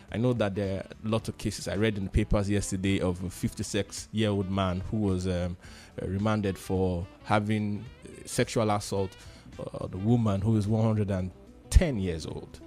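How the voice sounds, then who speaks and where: Male panelist, Nigerian accent, lowish voice, halting reflective delivery with repeated phrases, light music behind. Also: a little mellow. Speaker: discussion panelist. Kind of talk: radio discussion.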